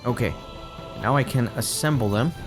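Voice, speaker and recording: A man's voice: medium pitch, moderate pace, over music. A man getting over a cold, giving casual, chatty, rambling commentary while playing an online game. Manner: chatty, rambling, self-correcting. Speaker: let's play gamer.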